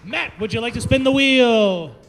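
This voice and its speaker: A man's voice: extremely high pitch, moderate pace, creaky, a bit bright, slight background noise. A man hosting a comedy open mic, playfully teasing and hyping up the next acts. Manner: playful, teasing, hypes the crowd. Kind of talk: emceeing a comedy show. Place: comedy club stage.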